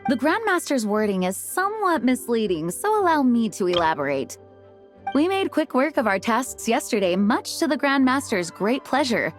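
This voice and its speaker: A dutiful young lady knight in an anime game. Her fairly high voice is expressive yet polite and formal, with music underneath. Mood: composed, insistent. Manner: polite, formal, measured wording. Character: dutiful, courteous young woman knight, earnest and composed. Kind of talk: anime video game voice acting.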